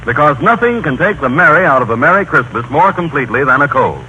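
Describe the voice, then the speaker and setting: An American man working as a 1930s radio announcer, delivering scripted banter and a sponsor pitch. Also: sing-song, quick pace, bright, forceful tone. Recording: muffled, slightly noisy 1930s radio broadcast.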